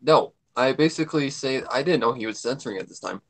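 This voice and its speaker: A man's voice: medium pitch, lively intonation, fast talker, a little hiss or hum. A man joining a livestream as a guest over an online call, chatting casually and repeating himself as he rambles.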